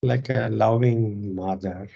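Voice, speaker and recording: A yoga teacher leads a guided meditation very slowly, with a creaky, fry-laden voice. The delivery is calm and measured, in short phrases set apart by pauses. The recording is somewhat dull and muffled. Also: mid-range voice.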